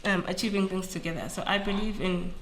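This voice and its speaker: A woman's voice: mid-range voice, quick pace, a little hiss or hum. Nasal woman with a flu. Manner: earnest, animated, mixes in Zulu phrases. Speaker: school principal, guest. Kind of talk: interview conversation.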